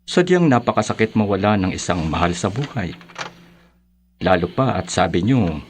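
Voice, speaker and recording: A calm, low-voiced Filipino priest. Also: male voice, a little hiss or hum. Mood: calm, sympathetic. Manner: measured, reassuring, formal. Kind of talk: radio drama acting.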